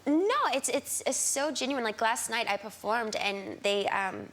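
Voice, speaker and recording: A woman talk show guest, chatting politely and softly about her life. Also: fairly high pitch, measured pace, a little hiss or hum. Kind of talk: casual chat.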